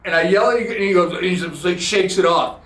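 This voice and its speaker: Sarcastic ranting man, high voice. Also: fast, a bit bright. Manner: blunt, profane, sarcastic storytelling. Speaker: podcast host. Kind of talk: ranting monologue. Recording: roomy echoey room.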